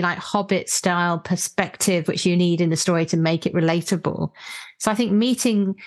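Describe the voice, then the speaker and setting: Woman hosting a casual book discussion. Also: mid-range voice. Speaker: podcast host.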